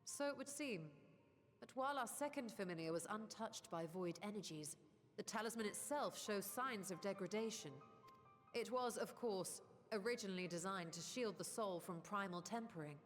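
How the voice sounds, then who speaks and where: An actress voicing a composed, scholarly sorceress in a video game, speaking formally and articulately. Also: female voice, medium pitch, moderate pace, lightly acted. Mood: analytical. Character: scholarly sorceress, composed, eloquent, keen for discovery. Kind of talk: scripted video game voice acting. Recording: long reverb, music behind.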